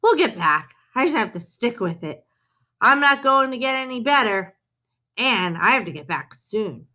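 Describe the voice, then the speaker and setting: A woman's voice: on the high side, very animated, moderate pace, sharp tone. A woman reading the part of an earnest young male runner who is fond of a dog, in a storybook. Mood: resigned.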